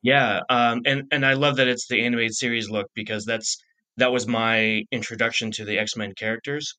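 A man in his thirties hosts a casual interview podcast. His voice is bright and sits at a medium pitch. He feels his way through sentences, often restarting them. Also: steady tone, moderate pace, poor audio. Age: 30s. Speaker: podcast host.

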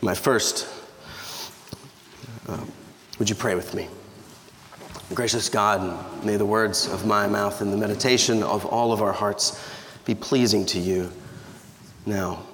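Male pastor, 30s, scratchy low voice, easygoing and wry, echoey church hall. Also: fairly even intonation, takes it slowly, pauses often, a bit bright. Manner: conversational, humorous, with restarts. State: scratchy voice from allergies. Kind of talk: sermon. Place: church sanctuary.

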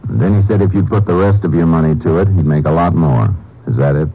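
An actor playing a calm, courteous, seasoned Ranger investigator, measured and matter-of-fact, in a radio crime drama. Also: male voice, sits low, husky. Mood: calm questioning. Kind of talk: old-time radio crime drama acting. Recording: muffled, noisy vintage radio recording.

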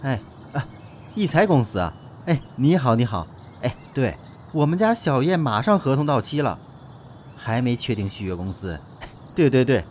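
An exasperated male celebrity agent, played by an actor, with a mid-pitched voice that swings up and down freely. He is chatty and colloquial in this audio drama, with noise in the background. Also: natural voice. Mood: polite, placating. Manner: colloquial, animated, wheedling.

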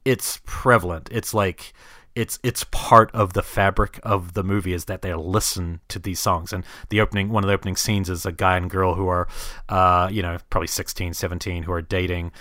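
A man's voice: deep voice, fairly even intonation, brisk, bright, forceful tone, dry recording, clean studio sound. A man from New Zealand chatting casually about films as a podcast host, hesitant and rambling as he thinks aloud. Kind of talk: casual film discussion.

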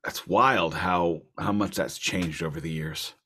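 A man's voice: sits low, fairly even intonation, unhurried, sharp tone, husky. A man co-hosting a music podcast, talking in a casual, explanatory, storytelling way. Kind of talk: casual album discussion.

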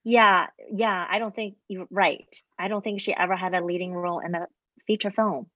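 A woman's voice: fairly low pitch, steady tone, normal speed, a bit bright, dry recording. Laughing woman, dry muffled sound. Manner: laughs while talking. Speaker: actress on a panel. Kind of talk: casual anecdote. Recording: dull, dry audio.

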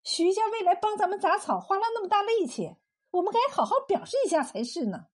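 A woman's voice: high-pitched, sing-song, normal speed, darkish tone. A woman storyteller voicing a kind, outspoken village wife who speaks in earnest exclamations. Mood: indignant.

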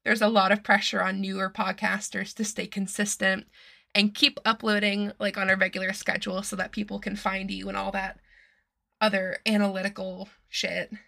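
A woman's voice: mid-range voice, level tone, normal speed, gravelly, pushed and bright. Chatty, slightly nasal woman podcaster. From Massachusetts. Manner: chatty, swears freely, laughs often. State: slightly nasal and strained from illness. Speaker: podcast host. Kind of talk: casual true-crime podcast chat.

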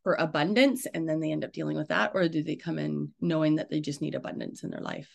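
A man hosting a casual interview, talking informally and haltingly as he thinks aloud. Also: fairly high pitch, sing-song, gravelly. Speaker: interviewer, host.

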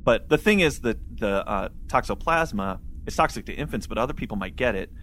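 A man's voice: lowish voice, lively intonation, fairly quick, a bit bright, a little hiss or hum. A man hosting a podcast, explaining things in a casual, colloquial, conversational way. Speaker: podcast host. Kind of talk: casual discussion. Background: faint background music.

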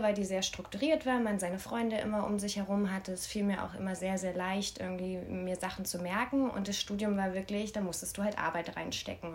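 Candid young woman, podcast guest. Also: mid-range voice. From Berlin, Germany. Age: young adult. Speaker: podcast guest, influencer marketer. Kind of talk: casual conversational interview.

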